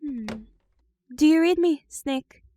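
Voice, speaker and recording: A woman's voice: sits high, takes it slowly. An actress playing an earnest, caring young information expert in a radio drama, with a girlish delivery. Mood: anxious care. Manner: girlish, animated.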